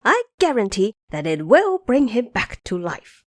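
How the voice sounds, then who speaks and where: A cocky monkey king, played by a woman in a storybook tale, teasing and bossing his companions around. His voice swings up high and wide, and the sound is dry and close. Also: unhurried, no room sound. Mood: lively boastful. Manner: teasing, lively, bossy. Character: mischievous boastful male monkey king.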